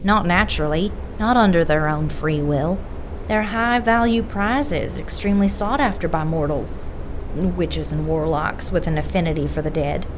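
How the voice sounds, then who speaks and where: A woman's voice: on the low side, normal speed, noisy background, close to an everyday voice. A woman playing a stern lore-expert detective. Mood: lecturing. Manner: lecturing, firm. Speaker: occult expert investigator. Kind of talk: audio drama acting.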